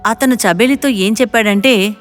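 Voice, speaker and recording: A woman's voice: a little high, normal speed. Woman narrating a story over music.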